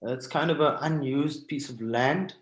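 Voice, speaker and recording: A man's voice: a little high, moderate pace. South African man in an echoey room. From Cape Town, South Africa. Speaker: guest artist sharing stories. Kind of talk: informal storytelling presentation. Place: indoors at home, on a video call. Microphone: video call microphone. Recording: roomy, echoing video call audio.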